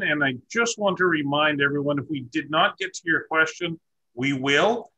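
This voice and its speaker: A man's voice: a little high, pushed and bright. A man in his forties moderating a school district town hall over a video call, courteous and full of fillers. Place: office with framed certificates.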